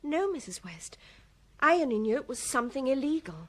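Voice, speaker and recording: Frightened young English woman, radio drama, high voice, hesitant and cooperative under questioning. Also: very animated, brisk. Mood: subdued confession.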